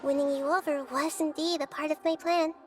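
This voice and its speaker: Game role: a woman plays a childlike, sage deity, high-pitched, calm and measured, soft score underneath. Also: close to an everyday voice. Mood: frank, composed. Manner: measured, thoughtful, composed. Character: wise, gentle, childlike little female deity. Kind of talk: video game voice acting. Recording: background music under voice.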